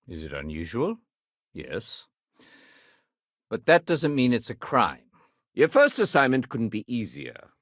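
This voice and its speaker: An actor playing a wry, world-weary, businesslike security sergeant in a sci-fi video game, with a dry conversational delivery. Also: male voice, medium pitch, wildly swooping pitch, unhurried, long pauses, no echo. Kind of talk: acted video game dialogue.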